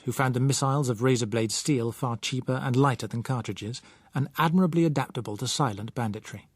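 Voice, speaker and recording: A man reads a novel aloud as an audiobook narrator, in a measured, articulate, literary manner. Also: medium pitch, darkish tone, dry recording.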